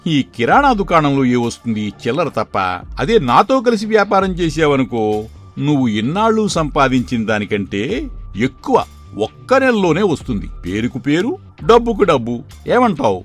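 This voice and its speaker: A man voicing a sly, persuasive swindling gold merchant in a moral story, coaxing and smooth-talking. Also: fairly high pitch, sing-song, normal speed, soft background music. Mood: boastful, coaxing.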